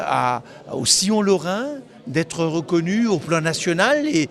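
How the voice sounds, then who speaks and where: A man giving explanatory interview answers as a local official, often halting and repeating words mid-phrase. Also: a little high.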